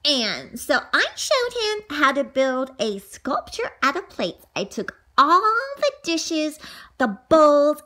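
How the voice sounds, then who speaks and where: High-pitched, giggly, mischievous little girl. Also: bright, forceful tone, full character voice. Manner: giggly, gasping, gushing, drawn-out words. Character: excitable mischievous little girl narrating her own adventures. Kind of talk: animated children's storytelling.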